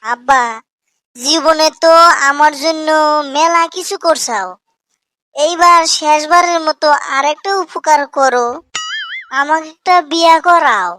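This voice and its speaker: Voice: high voice, unhurried, long pauses, sharp tone, dry recording, clean studio sound. A performer voicing a whining, henpecked young village husband in a comic cartoon, with a rural Bangladeshi accent and an exaggerated, plaintive manner. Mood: pleading.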